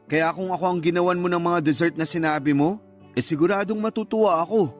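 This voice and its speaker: A man acting as a flirty suitor in a radio romance, full of flattery. His voice is notably high for a man. Faint music plays behind him. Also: fast, lightly acted. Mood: playful, flirting. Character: flirtatious, flattering suitor chatting up a taken woman. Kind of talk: radio drama dialogue.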